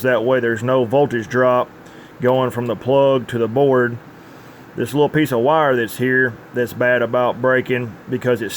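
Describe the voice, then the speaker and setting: A man's voice: mid-range voice, a little hiss or hum. A man giving an informal, plainspoken workbench demonstration as a radio repair technician. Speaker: CB radio repair technician presenting his tune-up work.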